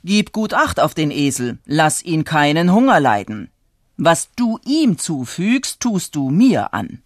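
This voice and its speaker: A narrator voicing a hot-tempered, gullible farmer who scolds and exclaims loudly in a told folk tale. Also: mid-range voice, expressive, slow pace, no echo, studio quality. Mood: commanding.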